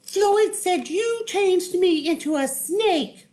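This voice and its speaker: A woman voicing a dramatic young female rabbit who was turned into a snake, with a shrill, indignant voice. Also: lively intonation, slow pace, mellow, exaggerated voice. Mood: demanding.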